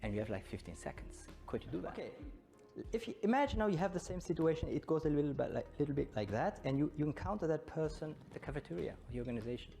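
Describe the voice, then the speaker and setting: A man's voice: a little high, lively intonation, quick pace, creaky, dark tone, faint music behind. A middle-aged man with a German accent giving an informal conference talk, often putting questions to his listeners. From born in Belgium, studied in Germany, long in Brazil. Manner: conversational, engaging the audience with questions. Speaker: Scrum trainer and conference speaker.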